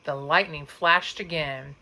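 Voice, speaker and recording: Woman storyteller, bright forceful tone, unhurried pace, dramatic emphasis, children's read-aloud. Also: sits low, rough recording. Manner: dramatic, drawn-out emphasis on sound words and counting. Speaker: teacher reading aloud. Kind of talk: expressive children's storybook narration.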